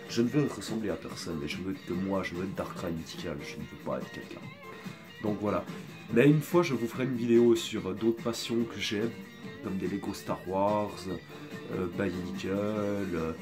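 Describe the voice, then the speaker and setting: A man with a cold and a sore throat, talking in a hesitant, rambling way in a casual apologetic video, filmed on a phone. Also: low-pitched. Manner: hesitant, rambling, many pauses. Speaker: video creator. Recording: background music playing.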